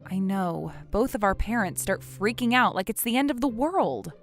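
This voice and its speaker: Woman acting a feisty, animated university girl. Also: mid-range voice, moderate pace, faint music behind, close to an everyday voice. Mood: matter-of-fact. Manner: snappy, indignant, bickering. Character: feisty, stubborn university girl, bickering childhood friend. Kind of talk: manga dub acting.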